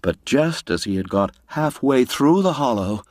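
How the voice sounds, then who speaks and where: A man narrating a scripted story in a measured, theatrical, suspenseful manner. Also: mid-range voice, lively intonation, a little mellow, dry recording, clean studio sound. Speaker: audiobook narrator.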